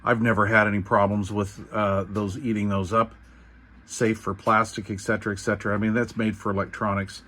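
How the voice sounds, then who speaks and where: A middle-aged or older American man giving an informal hands-on how-to explanation in an unhurried, halting, folksy manner. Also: fairly low pitch, flat delivery, a bit bright. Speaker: repair tutorial host. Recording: clear background noise.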